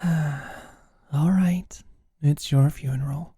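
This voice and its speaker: An actor playing a teasing, caring British office manager in an intimate ASMR roleplay, speaking softly and breathily with a dry manner. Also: mid-range voice, steady tone, very slow, dry recording, heavily acted. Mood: earnest, hesitant. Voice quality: soft, breathy, often near-whispered. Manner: dry, gently teasing, solicitous.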